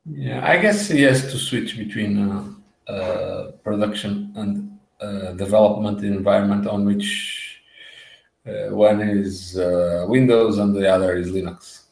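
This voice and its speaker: A man co-hosting a casual tech show, talking in a halting way with many fillers. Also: fairly low pitch, gravelly, slight background noise. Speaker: co-host. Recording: roomy echo.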